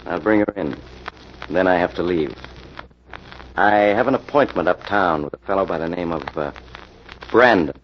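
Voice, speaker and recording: An actor playing a scheming manager of a phony medium in an old radio drama, placating and insistent. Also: male voice, deep voice, moderate pace, pauses often, close to an everyday voice. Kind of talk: 1940s radio acting. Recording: dull old radio recording with faint noise.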